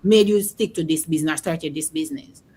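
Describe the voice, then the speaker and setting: A woman's voice: low-pitched, quick pace, darkish tone, breathy edge. A woman hosting an online interview, asking questions and affirming her guest. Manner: encouraging, affirming with "mm-hmm". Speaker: interview host.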